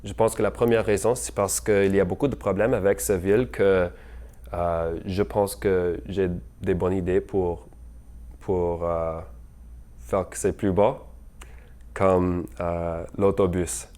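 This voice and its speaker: Halting young man, low voice, full of fillers. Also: level tone, mellow. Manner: halting, hesitant, many fillers, slips into English words; apologetic about his French. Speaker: mayoral candidate. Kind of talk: unscripted campaign message.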